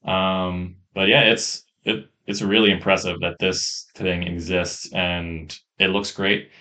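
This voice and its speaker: A man co-hosting a podcast, reviewing games in a casual, enthusiastic ramble on a rough-sounding mic. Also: low-pitched, little pitch movement, slow pace, pushed and bright. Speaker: podcast co-host. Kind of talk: casual chat, game review.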